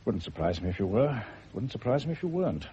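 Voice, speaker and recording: An actor playing an aging, worldly-wise English doctor in a radio drama, British-accented, dry, measured and wry. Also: male voice, lowish voice, very animated, warm tone. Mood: dry, frank. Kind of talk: mid-century radio drama acting. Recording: dull old radio recording, faint noise.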